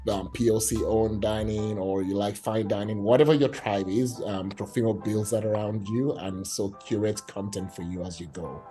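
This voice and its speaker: Man, from Nigeria, startup founder, low voice, mellow tone, eager, lots of "you know". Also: fairly even intonation, normal speed, few pauses, faint music behind. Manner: enthusiastic, explains with many fillers and examples. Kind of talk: casual pitch in an online meetup.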